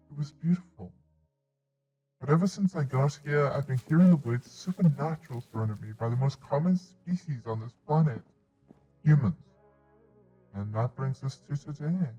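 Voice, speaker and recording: A timid, gentle alien outcast, played by a man, with a dark, soft-edged and odd voice. The speech is halting and awkward, in a game roleplay. The recording is slightly dull, with faint music underneath. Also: medium pitch, husky, natural voice. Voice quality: odd put-on voice. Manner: hesitant, halting, mumbling, timid. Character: shy, gentle alien creature exiled to Earth, socially awkward. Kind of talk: first-person story narration in Minecraft roleplay.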